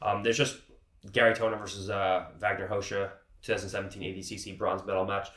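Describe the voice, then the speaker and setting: Low-voiced man teaching in an echoey room. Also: flat delivery, quick pace, fairly bright tone. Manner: casual and explanatory, often checks in with "okay". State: has a cold, sniffling. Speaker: grappling instructor. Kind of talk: instructional teaching.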